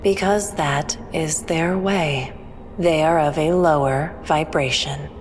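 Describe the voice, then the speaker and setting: A woman playing a calm, all-knowing alien who speaks in a measured, formal, cryptic way in a sci-fi audio drama. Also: low voice, slight background noise. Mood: grave, detached. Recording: long reverb, music underneath.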